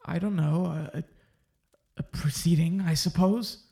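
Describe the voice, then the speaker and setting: An actor playing a timid, obedient young Puritan sheriff and nephew in an audio drama, hesitant and deferential. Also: male voice, fairly high pitch, fairly even intonation, unhurried, creaky, warm tone. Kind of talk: full-cast audio drama acting.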